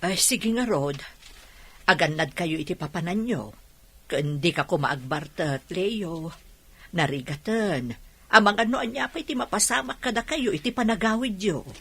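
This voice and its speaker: Lady playing a naggy ilocano mom in a radio drama, husky, swings up and down. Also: lowish voice, animated, normal speed, fairly bright tone, full character voice. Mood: worried. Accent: Ilocano Filipino. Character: strict, nagging, worried middle-aged mother.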